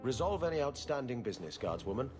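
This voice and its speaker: A man's voice: mid-range voice, sing-song, quick pace, music in the background, close to an everyday voice. A man playing a pompous city official in a fantasy game, speaking formally and theatrically. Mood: stern, formal. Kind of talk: video game cutscene acting.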